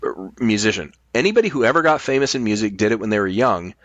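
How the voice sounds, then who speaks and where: A man's voice: lowish voice, lively intonation, quick pace, fairly bright tone, no room sound, dull audio. A middle-aged American man in casual, opinionated chat, making his points emphatically and swearing freely.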